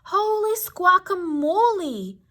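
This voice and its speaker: A woman's voice: very high voice, sing-song, slow, pushed and bright, voice pushed up, exaggerated voice. A woman voicing a plucky, hard-working little red hen from a storybook, exclamatory and clucking. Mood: excited, pleading.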